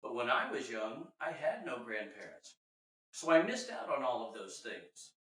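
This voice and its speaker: A man acts out an earnest young father from a storybook, pleading in rhyme. The voice is bright and swings widely in pitch, heard from a distance in a very echoey room. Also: sing-song, normal speed. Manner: heartfelt, theatrical storybook delivery.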